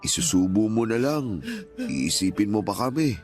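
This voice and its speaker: Guy playing an older dad in a radio drama, low voice, hurt but gentle, pleading, music under it. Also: animated, normal speed, lightly acted. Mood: grateful, emotional. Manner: earnest, emotional, pleading. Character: gentle, hurt elderly father pleading with grown child. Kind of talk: Tagalog radio drama acting.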